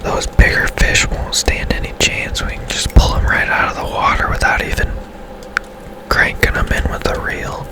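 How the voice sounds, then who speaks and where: A man whispering casual, rambling ASMR commentary over his own gameplay, musing as he goes. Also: normal speed. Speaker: gaming streamer narrating own gameplay.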